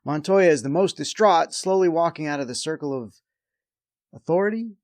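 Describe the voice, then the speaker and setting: A man's voice: a little high, lively intonation, normal speed, dry recording, studio quality. A man hosting a review show, delivering scripted comedic recap narration with a wry, sarcastic edge.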